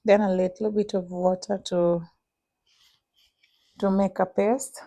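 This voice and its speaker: A young woman with a Kenyan accent talking through her cooking in a casual vlog, pausing often with "uh". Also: medium pitch, little pitch movement, mellow, dry recording, clean studio sound. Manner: unhurried, many "uh" pauses while cooking. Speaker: vlogger.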